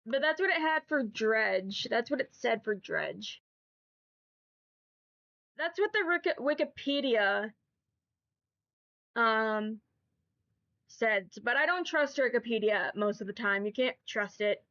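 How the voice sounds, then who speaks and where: A woman's voice: on the high side, expressive, slow, long pauses, bright, forceful tone, highs cut off. A woman streamer with a stuffy nose from allergies, chatting casually and rambling to her chat. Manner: rambling, chatty, repeats herself.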